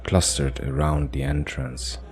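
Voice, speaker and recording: A man narrating a cinematic fantasy audio drama in a solemn, dramatic manner with short measured phrases. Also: very deep voice, level tone, a little mellow.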